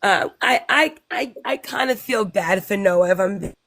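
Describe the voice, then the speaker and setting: A woman caller with a raspy voice, just awake, rambling and self-deprecating as she talks. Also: medium pitch, moderate pace, a bit bright. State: just woken up. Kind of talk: call-in conversation.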